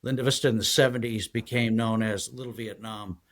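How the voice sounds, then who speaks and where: A man in his sixties from San Diego, a guest telling his life story in a halting, rambling way full of fillers. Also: lowish voice, steady tone, fairly bright tone. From San Diego, USA. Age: 60s. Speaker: guest, retired federal agent. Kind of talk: casual interview storytelling.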